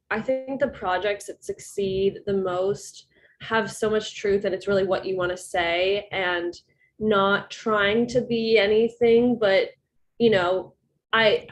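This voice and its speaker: Female music producer, panelist on a video call; earnest, rambling, acts out quotes; faint noise. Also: mid-range voice.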